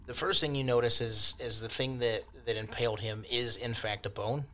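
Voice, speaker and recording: A man's voice: medium pitch, steady tone, normal speed, sharp tone, slight background noise. A man acting as game master, improvising descriptions in a casual, rambling, colloquial way.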